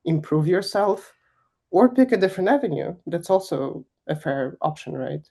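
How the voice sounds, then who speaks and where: A woman's voice: fairly low pitch, animated, normal speed, dark tone, breathy edge. A young woman from Kazakhstan answering interview questions in a candid, emphatic way, with frequent laughs. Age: young adult. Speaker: guest finance executive.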